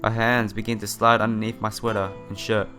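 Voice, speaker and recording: A man's voice: fairly low pitch, level tone, fairly quick, over music. A man reading a visual novel aloud in a theatrical way, breaking off into casual asides and exclamations. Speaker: let's player.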